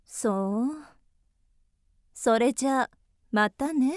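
Coquettish young geisha, high-voiced. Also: female voice, extremely slow, no room sound, studio quality. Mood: soft, pouting.

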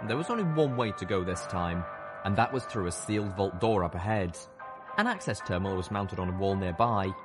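A man's voice: deep voice, fairly quick, music in the background. A man reading a scripted story as a dramatic audiobook narrator.

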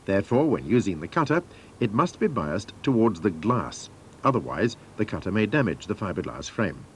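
A man's voice: fairly low pitch, big pitch swings, moderate pace, a little hiss or hum. A man narrating scripted instructions for a training film in a formal, methodical manner.

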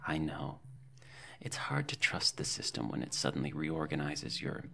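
Deep, creaky, falsely soothing dystopian clinician played by a man. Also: big pitch swings, moderate pace, pushed and bright, in a whisper, heavily acted. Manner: politely condescending, bureaucratic, with murmured asides. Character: smoothly sinister, falsely reassuring dystopian mental-care technician. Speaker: roleplay performer. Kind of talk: ASMR roleplay, soft clinical patter.

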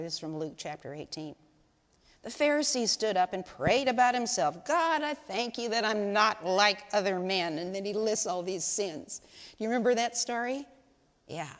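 An older woman giving a chatty, folksy talk to a congregation in a large church sanctuary, on a lapel mic. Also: fairly low pitch. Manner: chatty, folksy, asks the audience questions. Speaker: lay speaker at women's service. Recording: long hall reverb in sanctuary.

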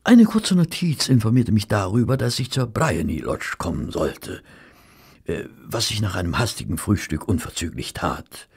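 A genteel, earnest gentleman in a radio play. His low voice is very husky and creaky, polite and a little hesitant. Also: sing-song, normal speed, full character voice. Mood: reflective. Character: genteel, easily flustered male companion of a detective. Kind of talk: German audio drama acting and narration.

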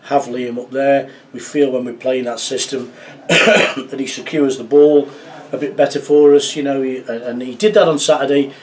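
Middle-aged football manager, slightly distant. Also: male voice, mid-range voice, little pitch movement, a little hiss or hum. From England. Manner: measured, reflective, many fillers and restarts. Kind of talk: post-match interview. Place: small club office indoors.

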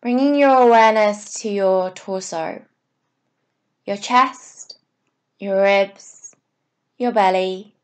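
A woman yoga teacher leading a guided meditation, giving soothing, unhurried instructions in short phrases with pauses. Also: medium pitch.

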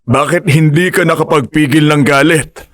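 An actor playing a wise older fisherman who counsels his son in a radio drama, gently scolding and fatherly. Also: fairly high pitch, fairly even intonation, normal speed, no echo, studio quality, close to an everyday voice. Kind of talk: broadcast radio drama acting.

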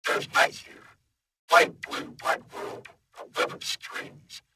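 A performer voicing a lost, disembodied human mind, whispering in broken fragments with sudden loud outbursts, panicked and confused. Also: slow pace. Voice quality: mostly whispered, breathy. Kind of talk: horror audio reading.

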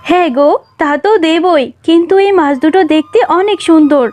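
A woman's voice: extremely high pitch, brisk, darkish tone. A woman voicing a caring, agreeable mother fox, a village housewife in a children's cartoon. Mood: warm, agreeable. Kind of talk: Bengali children's cartoon dialogue.

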